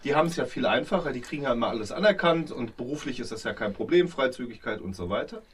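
A man's voice: medium pitch, animated, fast talker, slightly rough. A German man in a casual group discussion, speaking hesitantly with many fillers, heard from a distance. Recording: distant mic with faint noise.

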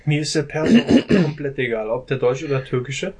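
A man's voice: medium pitch, expressive, brisk, a loud crowd. A man in a casual group discussion, talking at length and often ending phrases with a questioning "ne?". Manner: talkative, often adds a questioning "ne?".

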